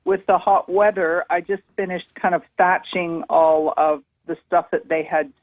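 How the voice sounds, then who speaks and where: A woman's voice: lowish voice, fairly even intonation, a little slow, no room sound. Woman caller on a tinny phone line. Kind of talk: casual phone conversation. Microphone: telephone.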